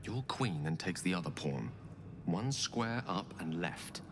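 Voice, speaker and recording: A man's voice: sits low, lively intonation, a little slow. A man playing a scholarly, courteous advisor in a fantasy video game, speaking formally and precisely. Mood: courteous, instructive. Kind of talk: video game character dialogue. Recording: long hall reverb, noisy ambience behind.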